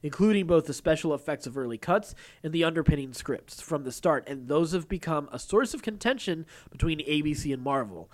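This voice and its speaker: A man hosting a podcast, moving between reading news and casually explaining his take in a talkative way. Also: on the high side. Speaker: podcast host.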